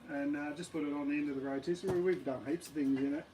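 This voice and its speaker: A man with an Australian accent and a slightly croaky voice, chatting casually and rambling on a live stream from his backyard. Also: on the high side, quick pace, noisy background. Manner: rambling, relaxed, many ums and uhs. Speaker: camping channel host. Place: backyard outdoors.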